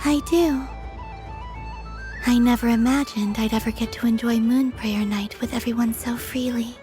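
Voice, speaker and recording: A woman voicing a gentle, dreamy young moon goddess in a video game, soft-spoken, sincere and measured. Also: a little high, fairly even intonation, lightly acted. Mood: gentle, grateful. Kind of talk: scripted video game acting. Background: music.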